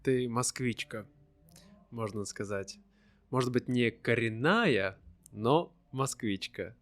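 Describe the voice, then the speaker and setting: Man, interview host, mid-pitched voice, friendly follow-up questions, slow clear speech for learners. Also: fairly bright tone, no echo.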